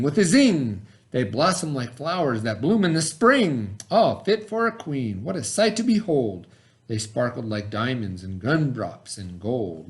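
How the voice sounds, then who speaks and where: A man reading a rhyming children's story aloud in a theatrical, expressive way, with dramatic pauses. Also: a little high. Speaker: storybook reader.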